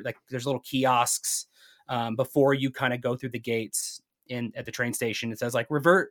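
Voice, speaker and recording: A man's voice: mid-range voice, fairly bright tone. Man chatting casually, rambling storyteller. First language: English. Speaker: podcast guest. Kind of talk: casual chat.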